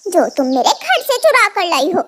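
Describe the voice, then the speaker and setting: A woman's voice: very high-pitched, sing-song, normal speed, fairly bright tone, a little hiss or hum, full character voice. A woman voicing an angry adult female crow in a cartoon, scolding and accusing dramatically. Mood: furious, accusing. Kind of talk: Hindi animated moral-story dubbing.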